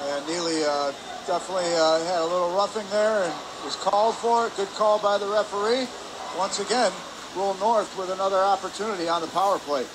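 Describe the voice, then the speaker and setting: A man's voice: high voice, brisk. A man giving live hockey analysis as a color commentator, conversational with hesitant phrasing. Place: press area above rink in an indoor arena. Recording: noisy ice arena, steady background din.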